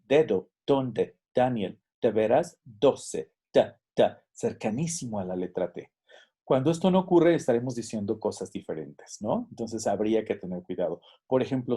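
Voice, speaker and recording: A man's voice: on the low side, creaky, warm tone, slightly rough. A man giving a recorded lesson, explaining and demonstrating English pronunciation examples. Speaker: teacher. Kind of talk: recorded lecture.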